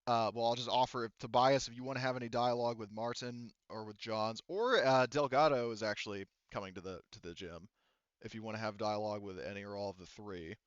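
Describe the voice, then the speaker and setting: A man runs a tabletop game in a smooth, clean voice. He narrates off the cuff, rambling and backtracking in a casual way. The recording is dry and a little dull. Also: on the low side, no echo. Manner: halting, rambling, self-correcting, casual. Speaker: game master.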